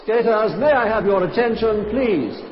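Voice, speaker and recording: An actor playing a formal ice-rink announcer in a vintage radio drama, measured and official. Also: male voice, high voice, lively intonation, some chatter behind, slight background noise. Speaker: arena announcer. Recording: echoey hall, muffled old radio.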